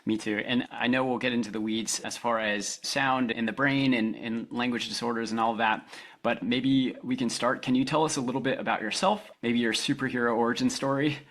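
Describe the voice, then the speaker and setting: A man's voice: mid-range voice, fairly even intonation, fairly quick, fairly bright tone. A man hosting a podcast, introducing a guest and asking questions in an eager, friendly way. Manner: eager, friendly, occasional fillers. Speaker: podcast host.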